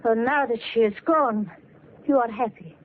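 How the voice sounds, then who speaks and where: An actress playing a devoted, adoring femme fatale accomplice in a melodramatic old radio thriller. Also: female voice, a little high, normal speed, natural voice. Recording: muffled, faintly noisy 1940s radio recording.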